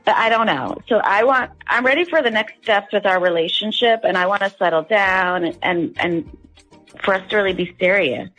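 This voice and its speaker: A woman's voice: mid-range voice, moderate pace, a bit bright, faint music behind, low-quality recording. A woman calling in to a radio show, chatting casually in a hesitant, rambling way full of fillers. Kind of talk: casual chat.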